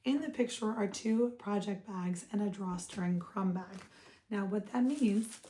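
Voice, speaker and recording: A woman hosting a casual craft vlog, her voice hoarse and froggy, chatting upbeat with viewers she calls friends. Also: mid-range voice, a little slow, mellow, slight background noise. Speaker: craft shop owner and vlog host. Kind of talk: casual show-and-tell vlog.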